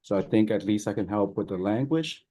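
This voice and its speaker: A man's voice: fairly low pitch, expressive, a little fast, warm tone, husky. A Chinese man speaking as a guest on a video call, friendly and hesitant, often restarting his sentences. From China. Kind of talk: casual remarks on a video call.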